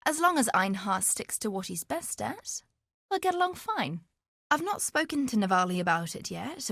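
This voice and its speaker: An actress playing a sly, greedy, flamboyant treasure hunter in a video game, with a wry, self-assured, theatrical delivery. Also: female voice, mid-range voice, close to an everyday voice. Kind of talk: video game character dialogue.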